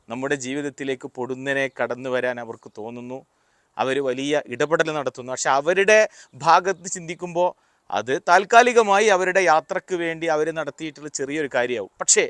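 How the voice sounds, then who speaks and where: A man giving a motivational talk, explaining deliberately in short, paused phrases. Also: fairly high pitch, fairly bright tone, no room sound, clean studio sound. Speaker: motivational speaker. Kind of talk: motivational talk with personal anecdotes.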